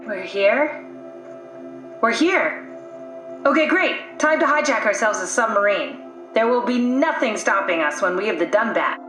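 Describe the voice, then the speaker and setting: A woman's voice: on the high side, lively intonation, unhurried, a bit bright. An actress voicing a pragmatic female scientist whose mind lives in a machine, with a dry, matter-of-fact way of explaining things in a video game. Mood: eager. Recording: echoey room, music underneath.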